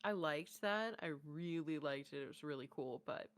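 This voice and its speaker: A woman's voice: low voice, normal speed. A woman co-hosting a reaction show in casual conversation.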